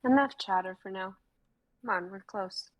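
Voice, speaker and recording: A woman's voice: mid-range voice, normal speed, dry recording, close to an everyday voice. A woman reading the part of a guarded female guide who speaks tersely and matter-of-factly.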